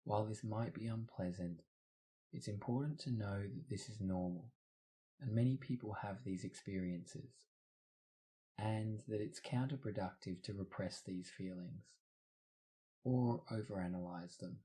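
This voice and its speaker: Low, mellow male meditation guide. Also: measured pace, low-quality recording. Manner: soothing, instructional. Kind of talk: scripted guided meditation narration.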